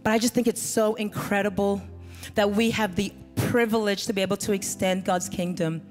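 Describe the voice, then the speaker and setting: A woman's voice: medium pitch, fairly even intonation, moderate pace, a little mellow. A middle-aged woman pastor preaching a sermon on a church stage, holding a handheld mic, with earnest emphasis. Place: large church stage with band behind. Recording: reverberant hall with music.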